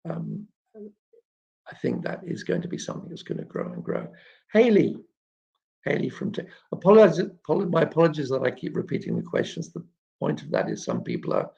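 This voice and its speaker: A man hosting an informal live question-and-answer chat, explaining things in a halting way and often clearing his throat. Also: fairly high pitch, wildly swooping pitch, warm tone. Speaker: children's author and illustrator hosting a live chat. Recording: dull, muffled lo-fi live stream.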